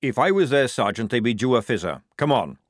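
Gruff, sly storybook sergeant, acted by a male narrator, growling and brash, lively pitch swings. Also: a little fast, dry recording, clean studio sound. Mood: brisk, commanding.

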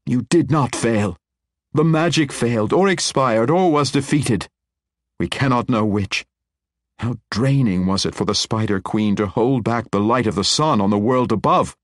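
A man voicing an imperious female dark elf matriarch, sharp and demanding. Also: mid-range voice, very animated, a little slow, husky, no room sound, clean studio sound, voice pushed up. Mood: commanding. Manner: sharp, demanding, raised intensity.

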